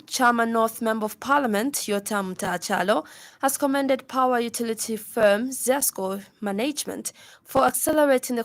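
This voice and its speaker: A woman's voice: on the high side, dry recording. A woman with a Zambian accent reading a scripted radio news bulletin in a formal manner. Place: dry radio studio.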